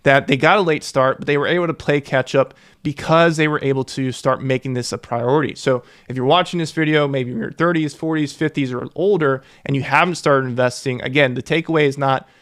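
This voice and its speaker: Young male finance educator, mid-pitch, earnest, stresses key numbers. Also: steady tone, a little fast, hardly any pauses, a bit bright. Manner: earnest, repeats key figures for emphasis. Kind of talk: explainer to camera.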